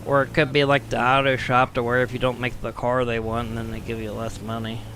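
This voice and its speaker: A hoarse man streaming a game live, his voice worn and scratchy. He chats casually at a mid-range pitch, grumbling and tossing off wry asides, with faint noise in the background. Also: monotone, fairly quick, pushed and bright. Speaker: gaming streamer. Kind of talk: live gameplay commentary.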